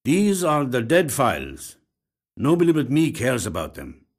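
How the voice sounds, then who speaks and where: A weary, grave male detective, acted by a story reader, speaks slowly and deliberately. His pitch sits raised and he leaves heavy pauses, as in an audio short story. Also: huge pitch swings, full character voice.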